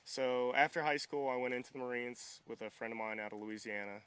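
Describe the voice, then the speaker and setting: A man's voice: medium pitch, fairly quick, a bit bright, smooth, rough recording. A young man giving casual interview answers in a polite, modest, easygoing manner. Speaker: interview guest, military veteran and welder.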